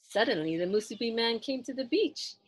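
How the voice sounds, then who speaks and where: A woman in her thirties reading a children's story aloud in an expressive, deliberate storytelling style. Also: on the high side, husky, no room sound. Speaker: children's book reader. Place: small indoor room lined with books.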